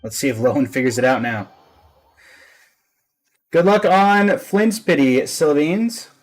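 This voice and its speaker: A man's voice: on the high side, animated, unhurried. Male game streamer over background music. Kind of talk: casual livestream commentary and reading dialogue along.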